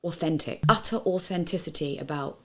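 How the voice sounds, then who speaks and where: A woman's voice: sits low, fairly quick. A woman reminiscing fondly about her theatre colleagues. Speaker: panto actress. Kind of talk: fond reminiscence.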